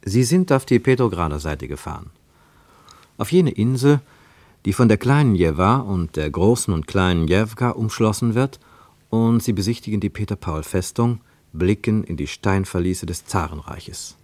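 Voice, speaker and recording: An actor as a reflective, analytical narrator in a radio play, commenting with deliberate, emphatic phrasing. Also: male voice, lowish voice, expressive, a little mellow, no room sound. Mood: descriptive. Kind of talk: scripted literary narration and commentary.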